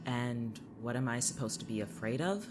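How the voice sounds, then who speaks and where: A woman's voice: extremely low pitch, expressive, normal speed, background noise. A woman playing a defensive, foul-mouthed woman in an audio drama, combative in manner. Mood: irritated, defensive. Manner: combative, profane. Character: defensive, foul-mouthed young woman insisting she is real.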